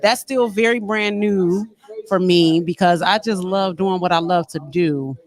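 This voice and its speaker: A woman's voice: on the low side, a little slow. A woman actress being interviewed as a guest, talking in a casual, laid-back, joking way. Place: busy venue backstage after a stage play. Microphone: microphone with headphones.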